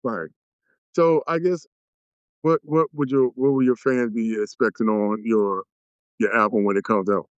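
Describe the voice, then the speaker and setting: A man hosting a podcast interview, supportive and halting, with many fillers and restarts. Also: mid-range voice, steady tone, smooth, no room sound. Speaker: podcast host. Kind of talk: casual interview chat. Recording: dull, dry close-mic audio.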